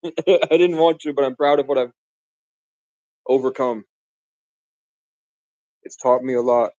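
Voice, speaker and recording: A man's voice: mid-range voice, slow, warm tone, no echo, highs cut off. A man from the Bronx, raised in Vermont, rambling grandly and casually to his viewers on a live stream. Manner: grandiose, meandering, casual slang. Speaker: livestreamer.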